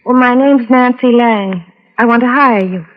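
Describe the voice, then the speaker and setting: A woman's voice: fairly high pitch, normal speed, close to an everyday voice. A woman playing a poised, wealthy society client in a vintage radio drama, composed and polite. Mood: businesslike. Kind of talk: 1940s-50s radio drama acting. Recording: muffled, reverberant old radio recording.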